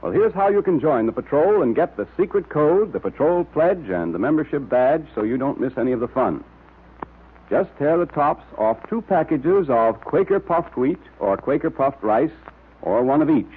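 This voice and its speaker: An American man announcing and pitching in 1930s radio style. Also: sing-song, normal speed, breathy edge. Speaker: announcer and sponsor spokesman. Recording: tinny old radio recording.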